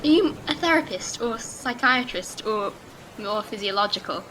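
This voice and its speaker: A woman's voice: fairly high pitch, animated, moderate pace, sharp tone. Lady doing chatty no-nonsense mum, swoopy voice, noisy fx behind. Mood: chatty, hospitable. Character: forthright Welsh mother running a boat rental, protective. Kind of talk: audio drama acting. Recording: noisy ambience effects behind.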